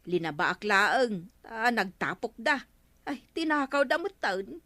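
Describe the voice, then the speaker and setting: A fretful village laundrywoman with an Ilocano accent in a radio comedy. She complains and pleads in a fairly high voice. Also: sing-song, slow, sharp tone, dry recording, clean studio sound, heavily acted. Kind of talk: comic radio drama acting.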